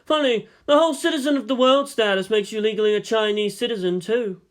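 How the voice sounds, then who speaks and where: A man voicing a weary, thoughtful statesman and historian who lectures in a measured, philosophical way in a story reading. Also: sits high, voice pushed up, close to an everyday voice. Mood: wry.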